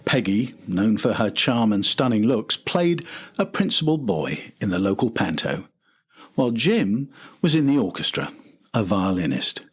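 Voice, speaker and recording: A man's voice: on the low side, breathy edge. An older man reading a scripted documentary narration as the host and storyteller.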